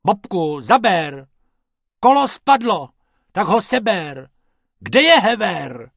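A man voicing a cheerful, bossy male rabbit magician for a children's storybook, excitably chanting rhymes and commands. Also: sits high, dry recording, studio quality, voice pushed up. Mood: excited.

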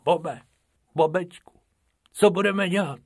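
A man voicing a whiny, timid little male rabbit in a storybook reading, speaking high and pleading. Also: no echo, clean studio sound.

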